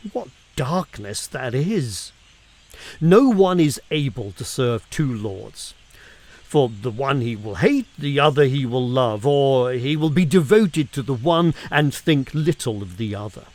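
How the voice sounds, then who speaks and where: A man reads scripture aloud with a very lively, swooping intonation. His pace is slow and deliberate, and he leans on key words with emphatic stresses and weighted pauses. The delivery is expressive and dramatic, in a middle-pitched male voice. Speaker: reader of scripture.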